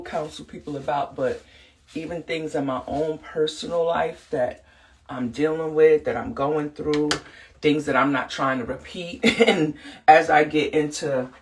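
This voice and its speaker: A woman's voice: sits low, distant mic. A woman hosting a podcast, a little congested from the flu, talking earnestly and loosely with lots of fillers. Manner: earnest, rambling, many fillers. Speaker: podcast host and counselor. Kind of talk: casual motivational talk.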